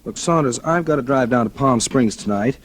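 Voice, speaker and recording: An actor with an American accent playing a casual, calculating, scheming husband in a 1940s radio thriller. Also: male voice, medium pitch, lively intonation, fairly quick, lightly acted. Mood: falsely solicitous. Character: smooth-talking, scheming husband plotting murder. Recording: noisy old radio recording.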